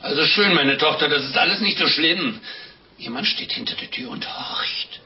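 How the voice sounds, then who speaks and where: Hesitant, horrified priest, dull old recording. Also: male voice, on the high side, animated, normal speed, slightly rough. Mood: shaky, pious. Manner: hesitant, stammering, exclaiming. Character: naive, horrified priest hearing a confession. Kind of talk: 1950s German radio drama acting.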